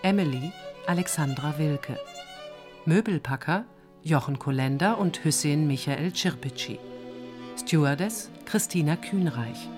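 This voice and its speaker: A woman's voice: deep voice, measured pace, a little mellow. A woman reading scripted credits as an announcer. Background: bowed string music.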